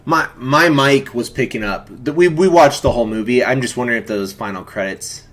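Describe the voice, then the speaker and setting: A man's voice: mid-range voice, brisk, fairly bright tone. A man hosting a film watch-along stream, talking in a casual, jokey, informal way. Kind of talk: casual chat and film commentary.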